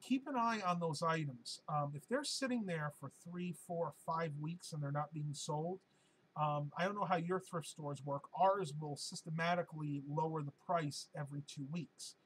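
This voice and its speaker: Male stream host, slightly high and hoarse, casual and rambling, light background noise. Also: normal speed, a bit bright, rough recording. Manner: chatty and rambling, with many ums and uhs. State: hoarse, losing his voice. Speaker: live-stream host answering viewer questions.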